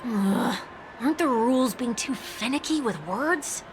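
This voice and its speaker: A woman's voice: a little high, lively intonation, measured pace, background noise. An actress playing a blunt, sarcastic young woman in a video game, with a wry, casual, grumbling delivery. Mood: annoyed. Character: blunt, sarcastic young female travel companion. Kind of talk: English video game voice acting. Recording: loud background music. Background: game music.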